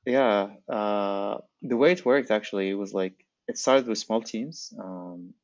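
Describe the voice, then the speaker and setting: Low male voice, slightly muffled recording. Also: slow pace, no room sound. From Algeria. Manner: informal, frequent filler "like". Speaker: podcast guest, startup founder. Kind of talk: casual conversational interview answers.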